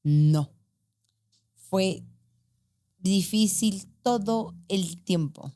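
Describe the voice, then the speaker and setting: A woman's voice: mid-range voice, mellow. A middle-aged woman in casual podcast chat, answering questions and often finishing her partner's sentences. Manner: responsive, quick affirmations, finishes partner's sentences.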